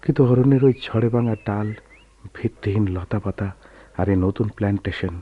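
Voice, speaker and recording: A man's voice: on the low side, lightly acted. An actor playing a brooding, lovelorn man in a radio drama, speaking in a theatrical, poetic way. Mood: wistful, brooding. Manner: theatrical, poetic, measured delivery. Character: brooding, lovelorn man haunted by an old love. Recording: muffled, noisy old radio play recording.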